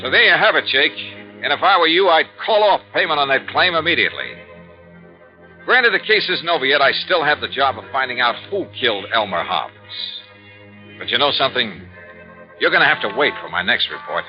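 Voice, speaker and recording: An old, dull radio recording of a man acting a hard-boiled American investigator. He is sure of himself and wry, swinging his pitch through crisp, emphatic narration, with music around him. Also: animated, brisk, close to an everyday voice. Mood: brisk, wry. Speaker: lead radio actor. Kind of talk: 1950s radio detective acting.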